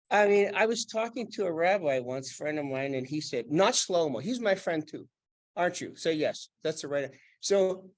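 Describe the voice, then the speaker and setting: Animated man with a lively, high voice. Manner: animated, joking storyteller. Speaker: panelist, former preacher. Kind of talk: panel discussion.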